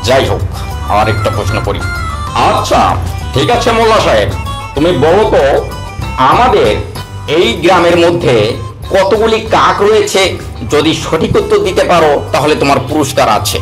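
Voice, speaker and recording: A man's voice: a little high, very animated, moderate pace, pauses often, over music, rough recording. Man acting a pompous village judge in a cartoon. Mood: scheming. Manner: pompous, declaiming. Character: boastful village judge, self-styled wise man, scheming.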